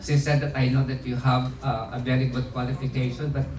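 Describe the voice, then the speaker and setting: A man in his seventies with a Filipino accent, giving a personal speech at a family celebration, unhurried with many pauses and restarts. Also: mid-range voice, little pitch movement. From Philippines. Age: 70s. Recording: echoey room, far from mic, background noise.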